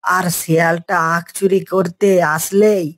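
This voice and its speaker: A man's voice: high voice, flat delivery, measured pace, fairly bright tone. Man playing a deferential cartoon animal sidekick. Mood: eager, worried. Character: deferential, lazy animal helper of the tiger. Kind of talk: cartoon voice acting.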